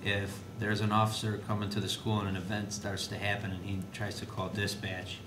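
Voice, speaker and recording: Man, administrator at a public meeting, low-pitched, halting delivery, noisy roomy recording. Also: little pitch movement. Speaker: school district administrator reporting to board. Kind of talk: school board meeting discussion. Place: indoor board meeting room. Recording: noisy, echoey meeting room with low rumble.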